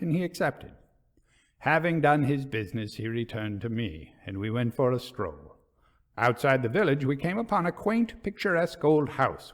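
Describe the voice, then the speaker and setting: A man reading a literary ghost story as a storyteller, in measured, dramatic, formal narration. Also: mid-range voice.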